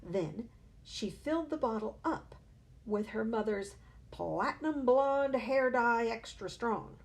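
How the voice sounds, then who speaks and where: A woman, a teacher, reading a children's novel aloud with expressive storytelling. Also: on the high side, takes it slowly.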